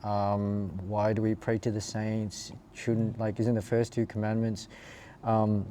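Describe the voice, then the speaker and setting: A man's voice: lowish voice, monotone, a little slow, warm tone. A man from Perth with an Australian accent, telling their story in a casual, informal and slightly rambling way as an interview guest. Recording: clear background ambient noise.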